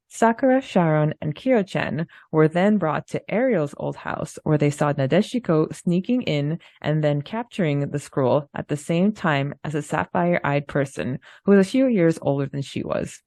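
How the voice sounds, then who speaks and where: A woman's voice: low voice, a little mellow, no room sound, clean studio sound. A woman co-hosting a discussion podcast, moving between prepared narration and conversational talk. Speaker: podcast co-host. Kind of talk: book discussion podcast, partly read summary.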